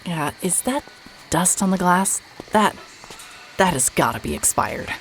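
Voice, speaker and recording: A woman's voice: lowish voice, expressive, unhurried, gravelly, slight background noise, rough recording. A woman playing a hard-hearted, wry private investigator in a mystery audio drama, with a dry, guarded delivery. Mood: skeptical. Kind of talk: cinematic audio drama acting, noir first-person narration.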